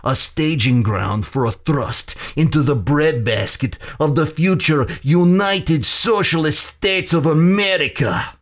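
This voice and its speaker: Man acting an oily, gloating general, slow and menacing. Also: a little high, pitched above the performer's own voice. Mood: boastful. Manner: sultry, theatrical, deliberate.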